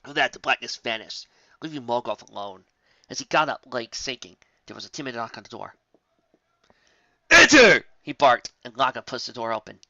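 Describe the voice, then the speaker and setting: Male narrator, dramatic fantasy reading, forceful, gravelly edges, dry and slightly dull lo-fi recording. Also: medium pitch, brisk, no echo.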